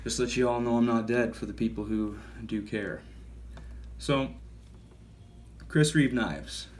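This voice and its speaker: A man's voice: mid-range voice, fairly even intonation, unhurried, slight background noise. A man in his thirties giving a casual gear review, talking in a rambling, self-correcting and enthusiastic way. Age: 30s. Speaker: knife reviewer.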